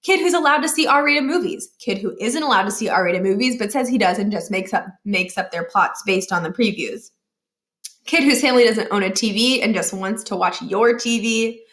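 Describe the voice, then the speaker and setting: A woman reading a children's novel aloud as an expressive storyteller. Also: mid-range voice, normal speed. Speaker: storybook reader.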